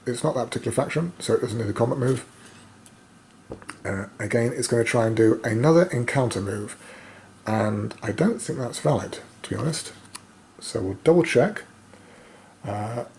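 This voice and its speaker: A man with a slightly croaky voice gives casual play-by-play of a solo board game, thinking aloud with hesitant pauses. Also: mid-range voice, a little mellow. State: croaky voice, coughing now and then. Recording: noticeable background noise.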